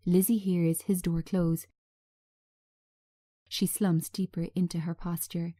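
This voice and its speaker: Slow, mellow woman narrating a script. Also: lowish voice, flat delivery. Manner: measured, present-tense scene reading.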